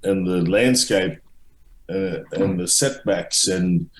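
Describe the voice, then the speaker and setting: A deep-voiced middle-aged man speaks haltingly, circling back and restarting his sentences. His voice carries a noticeable room echo. He sounds like a guest giving long answers in a casual interview. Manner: halting, many restarts and fillers. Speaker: author and guest.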